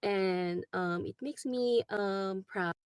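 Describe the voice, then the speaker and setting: A woman in her forties with a Filipino accent, a travel nurse speaking in a recorded video message, pausing often with filler words. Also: mid-range voice, clear, resonant tone, no echo, studio quality. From Philippines. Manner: hesitant, many ums and likes.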